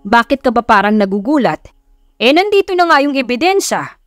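A woman's voice: on the high side, sing-song, fairly quick, no echo, faint music behind. A woman voicing a stern, exasperated father in an audio romance story, serious and scolding.

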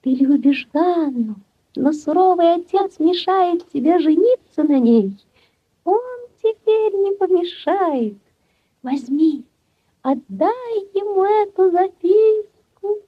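An actress playing a sorrowful young drowned water spirit in a breathy, plaintive 1940s Soviet radio style. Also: female voice, extremely high pitch, animated, takes it slowly, pauses often, dry recording, exaggerated voice. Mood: tender. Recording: dull 1940s radio recording, faint music.

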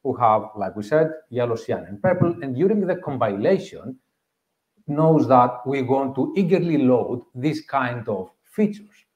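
A man's voice: mid-range voice, lively intonation, a little slow, a little mellow. A man in his thirties with a Greek accent gives a conference tech talk, explaining step by step and repeating key points. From Greece. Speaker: conference speaker. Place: meeting room with audience. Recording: roomy, slightly dull live room audio.

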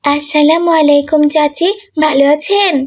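A woman's voice: sits high, steady tone, normal speed, darkish tone, natural voice. A woman voicing a cheeky, bold little village girl in a cartoon, sassy and outspoken. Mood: chatty. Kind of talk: cartoon dialogue.

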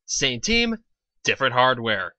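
Bright, sarcastic male commentator. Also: medium pitch, sing-song, a little slow, no room sound. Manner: mocking, questioning. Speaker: commentary host.

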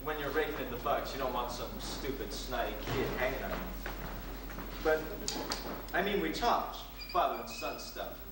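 A man's voice: medium pitch, animated, moderate pace, natural voice. Young man acting a disillusioned teenage son, very echoey. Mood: wry. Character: disillusioned teenage son talking about his divorced parents. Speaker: student actor. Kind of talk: rehearsed readers theatre monologue. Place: school room with wooden floor. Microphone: distant camcorder microphone. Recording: echoey, noisy camcorder from far off.

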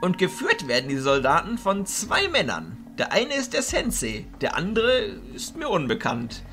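A man playing an elderly, authoritative arch-chancellor of illusionists in a fantasy audio drama, speaking in a theatrical, deliberate way. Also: high voice, huge pitch swings, pushed and bright, faint music behind, exaggerated voice. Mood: calm, questioning.

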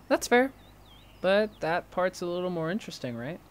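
A man playing an impulsive, curious friend in an audio drama, conversational and quick to get defensive. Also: high voice, slight background noise. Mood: eager. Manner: animated protests, inquisitive, defensive. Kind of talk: naturalistic audio drama dialogue.

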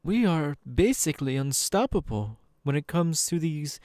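A smug genteel demon, slow, lilting, falsely sympathetic, theatrical, ASMR roleplay, close dry sound. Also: male voice, a little high, sing-song, a little mellow, dry recording. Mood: smooth, curious. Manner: slow, theatrical, drawn-out pauses.

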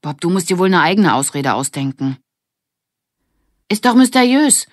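A woman's voice: lowish voice, sing-song, moderate pace, no room sound, studio quality, pitched above the performer's own voice. Woman as a spirited, eager young girl. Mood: intrigued. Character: spirited young girl, curious, bold gang leader.

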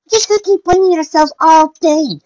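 A woman voicing an excitable, bubbly female party pony with a loud, bouncy delivery for a story reading. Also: very high voice, fairly quick, voice pushed up. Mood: exasperated, loud. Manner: exclaiming, bouncy, blunt. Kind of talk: fan fiction story reading. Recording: dull lo-fi recording.

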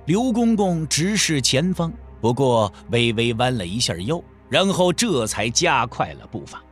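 Expressive male audiobook narrator, lively pitch. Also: measured pace, faint music behind. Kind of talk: scripted novel narration, wuxia storytelling.

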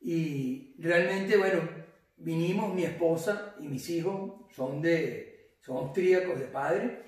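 Man, forties, Venezuelan accent, fairly high voice, casual guest, strong room echo. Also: slow pace, darkish tone. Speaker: interview guest. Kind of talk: casual chat. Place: indoor living room. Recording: echoey room, distant from mic.

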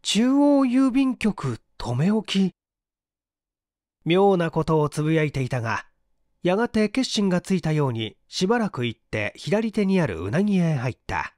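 A man's voice: fairly high pitch, big pitch swings, brisk, a little mellow, no echo, clean studio sound. A man narrating a scripted story dramatically as an audiobook reader.

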